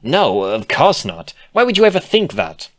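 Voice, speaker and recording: A man's voice: mid-range voice, wildly swooping pitch, moderate pace, a bit bright, voice pushed up. A man voicing a defensive, laid-back female cartoon unicorn, grumbling with sardonic reluctance in a storybook reading. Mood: indignant.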